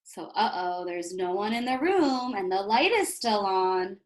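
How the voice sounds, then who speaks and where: A woman's voice: mid-range voice, unhurried. A woman reading a picture book aloud to young children like a teacher, expressively, with explanatory asides and questions to her listeners. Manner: animated, instructive, asks rhetorical questions of young listeners.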